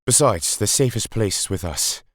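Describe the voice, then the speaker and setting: A man's voice: lowish voice, lively intonation, moderate pace, no room sound, studio quality, voice pushed up. A man voicing a grave, emphatic Time Lord hero in an audio story, urgent and commanding. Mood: urgent, resolute.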